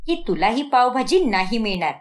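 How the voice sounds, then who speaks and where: A woman's voice: on the high side, big pitch swings, moderate pace, voice pushed down. A woman performs a stern middle-aged cartoon mother. She scolds with emphasis and sounds strict and easily exasperated, the head of a household laying down rules. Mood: scolding. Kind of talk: cartoon moral story acting.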